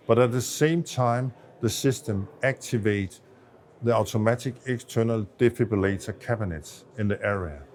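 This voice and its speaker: Low-voiced Danish man explaining. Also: darkish tone. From Denmark. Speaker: associate professor of medicine, expert interviewee. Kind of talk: explanatory interview answers on a medical project.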